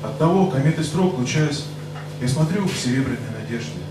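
A young man reading his own poem into a handheld microphone, in a slow, solemn declamation. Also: medium pitch, expressive. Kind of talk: poetry recitation. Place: stage of a rock cafe. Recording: very echoey club room, far mic, noise.

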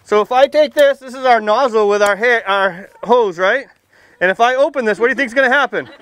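A middle-aged American man speaks as a firefighter showing young children around, projecting a high, clean voice. His delivery is informal and folksy, full of simple questions. He is outdoors, and the sound is dry, with no echo. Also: fairly quick, fairly bright tone, some chatter behind. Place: outdoors, under a tent and beside a fire truck.

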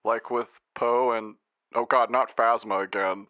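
A man voicing a lovestruck young warlord in an animated parody, hesitant and casual even when menacing. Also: medium pitch, a little slow, dry recording, narrow, tinny audio, close to an everyday voice. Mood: awkward.

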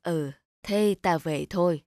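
An actress playing a gentle, plain-spoken elderly village mother in a cartoon, talking unhurriedly. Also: fairly low pitch, slow pace, no echo, studio quality. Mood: wistful, kindly. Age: older. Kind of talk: cartoon voice acting.

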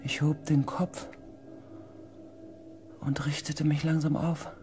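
An actor playing a shaken space expedition member in a radio drama, with a husky, breathy voice and a hushed, halting manner. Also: male voice, on the high side, flat delivery, faint music behind. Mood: tense, hushed. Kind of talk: radio drama narration and acting.

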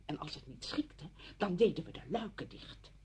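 An actress playing an exasperated, blunt, emotional neighbour in a radio play, agitated and emphatic. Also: female voice, on the low side, lively intonation, normal speed, mellow, slight background noise, close to an everyday voice. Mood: angry, resentful. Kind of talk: Dutch radio play acting.

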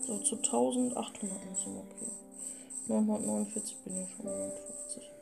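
A woman's voice: mid-range voice, steady tone, brisk, creaky. A woman chatting casually as a let's player while she plays a game. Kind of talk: casual gameplay chat. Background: music.

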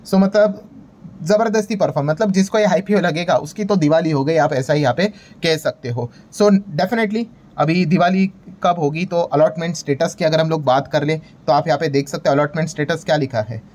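A man's voice: fairly high pitch, moderate pace, a little mellow. An Indian man giving a casual, emphatic explainer as a market video host, conversational, hyping things up and mixing in English terms with repeated check-in phrases. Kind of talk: casual explainer commentary over screen graphics.

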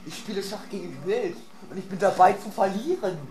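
A man's voice: sits high, a little fast, darkish tone. A man clowning around in silly, casual gaming banter. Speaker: let's play co-commentator. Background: faint game music.

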